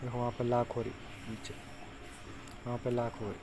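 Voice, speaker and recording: Man, mid-pitched voice, casual fishing how-to, loud cricket chirps behind, phone mic. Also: completely flat delivery, normal speed. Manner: plain instructive, talks while tying knots. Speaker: fishing tutorial maker. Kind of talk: casual step-by-step demonstration. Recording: clear background insect noise.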